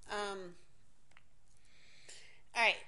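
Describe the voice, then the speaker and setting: A woman's voice: extremely slow, bright, forceful tone. A woman in her forties from Miami telling a candid personal story, rambling and self-interrupting, swearing casually and laughing often. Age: 40s.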